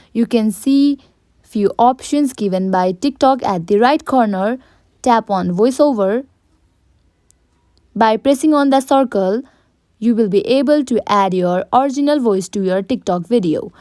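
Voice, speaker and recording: A woman presents a how-to tutorial in a fairly high voice. She gives simple, step-by-step instructions straight to the viewer. The sound is dry, with almost no room in it. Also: a little slow, darkish tone, no echo. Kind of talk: step-by-step app tutorial narration.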